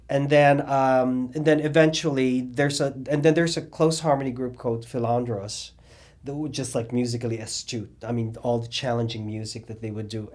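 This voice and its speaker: Middle-aged man, animated storyteller, lots of fillers, mid-range voice. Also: medium pitch. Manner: rambling, animated storytelling with many fillers and restarts. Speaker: interviewee, cabaret singer and drag performer. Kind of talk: oral history interview.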